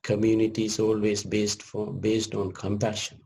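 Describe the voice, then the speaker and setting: A man leading a guided meditation as a teacher, speaking gently in short measured phrases with long pauses. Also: fairly low pitch, monotone, creaky.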